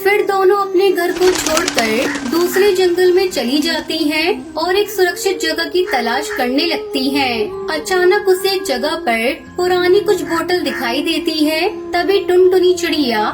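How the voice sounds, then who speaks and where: A woman's voice: extremely high pitch, expressive, measured pace, few pauses. A woman narrating a scripted children's story. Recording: loud music under the voice.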